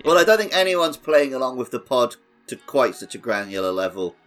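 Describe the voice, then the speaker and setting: A man's voice: mid-range voice, very animated, brisk, a bit bright, soft background music. A man hosting a podcast, chatting casually about a video game. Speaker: podcast host.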